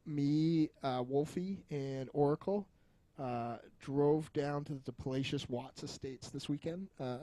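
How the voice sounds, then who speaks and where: A man's voice: fairly high pitch, measured pace, dark tone, no echo. A man chatting casually as a podcast co-host, rambling and joking with frequent chuckles and some swearing. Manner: jokey, foul-mouthed, rambling, often chuckling.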